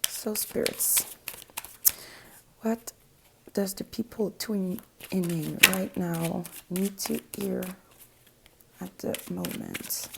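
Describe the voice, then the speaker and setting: A young woman with a French accent, a native French speaker, giving a spoken tarot reading in a soft, hesitant way, often dropping to a whisper and searching for words. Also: mid-range voice, slow pace, gravelly, long pauses, background noise. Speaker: tarot reader.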